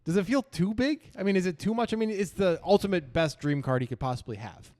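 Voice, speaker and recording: A man's voice: sits high, expressive, quick pace, a little mellow. A man hosting a poker discussion with conversational commentary and analysis. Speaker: podcast host, poker analyst.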